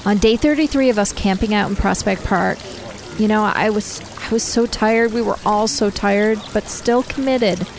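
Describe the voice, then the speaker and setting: A woman's voice: medium pitch, normal speed, lightly acted. A woman acting in a radio drama as a guarded but passionate activist, speaking earnestly and insistently. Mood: earnest, confessing.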